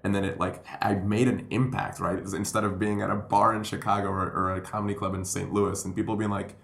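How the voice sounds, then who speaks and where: A man from Puerto Rico, a comedian telling stories in a rambling, animated way on a podcast. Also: lowish voice, fast talker, creaky. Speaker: comedian and guest. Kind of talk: casual podcast chat. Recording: roomy echo.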